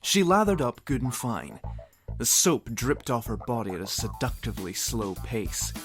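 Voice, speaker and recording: A man's voice: mid-range voice, big pitch swings, normal speed, fairly bright tone. A man narrating comedic fan fiction in a mock-serious, theatrical way with wry asides. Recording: music behind the voice. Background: music.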